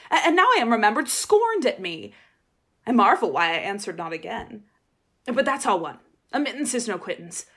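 A woman's voice: a little high, bright, forceful tone, exaggerated voice. A woman playing a proud young shepherdess in a Shakespeare radio play, dramatic, wavering between scorn and admiration.

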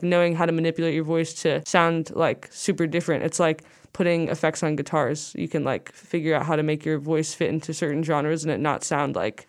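A young woman with a low, smooth and clear voice chats loosely as an interview guest. She rambles through her thoughts, filling them with "like" and small restarts. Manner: rambling, says "like" a lot. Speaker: guest musician. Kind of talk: casual interview chat.

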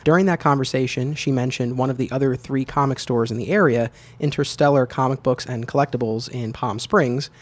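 A man's voice: mid-range voice, steady tone, fairly quick, hardly any pauses. A man in his thirties hosting a podcast, interviewing and narrating in an easygoing, friendly way with fillers. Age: 30s. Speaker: podcast host.

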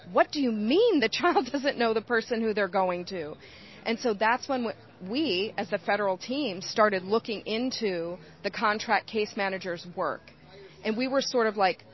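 A woman's voice: medium pitch, moderate pace. A woman giving earnest, explanatory interview answers in the manner of a trainer. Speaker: interview guest, whistleblower. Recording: dull, lo-fi sound.

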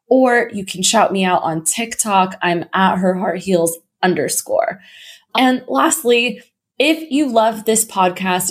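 A woman hosting her own podcast in a casual, upbeat way, her voice slightly hoarse. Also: medium pitch. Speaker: podcast host. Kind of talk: casual solo podcast talk.

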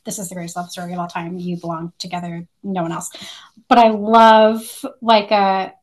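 A woman's voice: on the low side, little pitch movement, normal speed. A woman co-hosting a podcast, chatting casually in a blunt, slangy way. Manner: blunt, slangy, frequent use of like. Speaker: podcast co-host. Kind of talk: casual chat.

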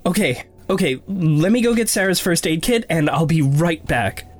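A woman playing an anxious, caring, self-berating protagonist in an audio drama, speaking in a halting, fretful way. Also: on the low side, animated, close to an everyday voice. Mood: alarmed, worried. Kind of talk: fiction podcast audio drama acting. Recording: roomy long reverb, music underneath.

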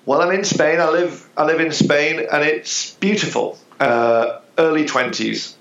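Male guest expert, gravelly voice, dull echoey remote audio. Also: fairly high pitch, steady tone, measured pace, a bit bright. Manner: thoughtful, explanatory, with hesitations. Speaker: business psychologist, guest. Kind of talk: interview answers.

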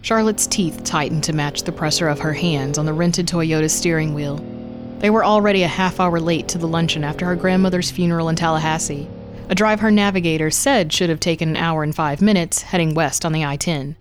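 A woman's voice: low-pitched, brisk, music in the background. A woman narrator reading a scripted horror story in prose.

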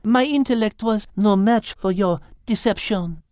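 A woman's voice: mid-range voice, a little mellow, dry recording, studio quality, close to an everyday voice. A woman voicing a clever, defiant animal heroine in a dramatized children's audiobook, speaking firmly and accusingly. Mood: resolute, bold. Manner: firm, deliberate, accusing.

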